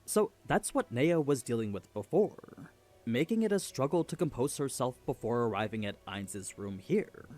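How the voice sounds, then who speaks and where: A man narrates a scripted story recap. His mid-range voice moves up and down in pitch a good deal, giving the storytelling an animated delivery. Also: normal speed.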